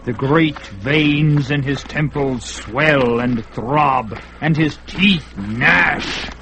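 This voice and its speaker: A man giving dramatic scripted narration for a radio drama, measured and intense. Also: mid-range voice, pushed and bright, slight background noise, low-quality recording. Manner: dramatic, measured, rising with the action.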